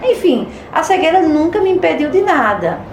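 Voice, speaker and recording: A woman's voice: very high-pitched, big pitch swings, moderate pace, roomy sound, background noise. A woman who runs an institution, telling her story firmly with rhetorical questions. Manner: firm, reminiscing, rhetorical questions. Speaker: institution administrator. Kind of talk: interview testimony.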